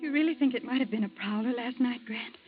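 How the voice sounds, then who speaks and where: An actress as an earnest young niece. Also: female voice, fairly high pitch, fast, over music, close to an everyday voice. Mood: thoughtful. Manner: earnest, pleading. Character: young niece, devoted and anxious. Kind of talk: 1940s radio acting. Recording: dull old radio recording.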